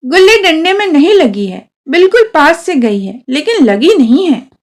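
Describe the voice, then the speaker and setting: A woman's voice: high voice, big pitch swings, moderate pace, pitched above the performer's own voice. A woman voicing a nostalgic male officer in a story, talking earnestly and familiarly to a childhood friend. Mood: insistent.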